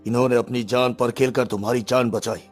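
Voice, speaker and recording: A man voicing a proud, tender middle-aged king in a cartoon story, gentle and formal. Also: medium pitch, fairly even intonation, a little fast, soft background music, natural voice. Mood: fatherly.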